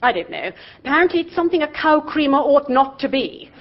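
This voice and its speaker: A hearty, bossy middle-aged aunt in a radio play, barking orders in a brisk, slightly high voice. The recording is somewhat dull. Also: female voice, sing-song. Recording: dull lo-fi audio.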